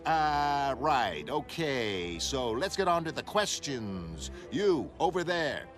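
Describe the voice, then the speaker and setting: A showy male TV presenter in a comic drama, played by a man, with a lively, swinging pitch. He announces briskly over music. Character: brisk showman male TV talk show host. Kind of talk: motion comic character acting. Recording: music behind the voice.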